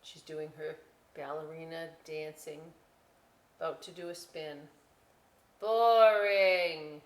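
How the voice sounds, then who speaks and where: Slow, expressive woman storyteller, roomy echo. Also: low-pitched. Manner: informal, expressive. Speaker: library storytime reader. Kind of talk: chatty children's read-aloud with asides.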